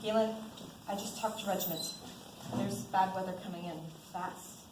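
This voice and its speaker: A woman's voice: mid-range voice, fairly even intonation, moderate pace, close to an everyday voice. A young woman acting in a student stage drama as a dutiful, pragmatic army lieutenant, formal and earnest. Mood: somber. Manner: formal, earnest military delivery. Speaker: student actor. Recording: echoey stage with background noise.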